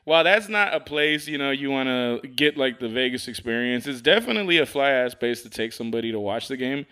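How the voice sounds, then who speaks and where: A man's voice: medium pitch, lively intonation, a little fast, bright, forceful tone, clean tone. A man hosting a podcast, talking in a casual, slangy, profane way and telling stories with animation. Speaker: podcast host.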